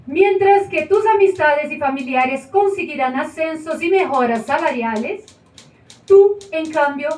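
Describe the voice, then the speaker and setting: An actress playing a radio show presenter on stage, with a brisk announcer manner and wry asides. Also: female voice, sits high, expressive, darkish tone. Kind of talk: stage acting of a radio broadcast. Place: small theater stage. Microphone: far camera. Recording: echoey room from far off, faint noise.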